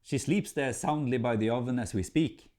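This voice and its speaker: A boastful farmer from a folk tale, acted by a man, hearty and deliberate. He sounds plain-spoken and a little sly as he projects his words. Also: medium pitch, darkish tone, voice pushed up, close to an everyday voice. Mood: sly boasting.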